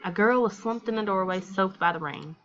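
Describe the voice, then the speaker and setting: A woman's voice: medium pitch, fairly quick, a bit bright, highs cut off, slight background noise. A woman doing casual let's play commentary, reading visual novel narration aloud with slangy, candid asides. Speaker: gaming video host.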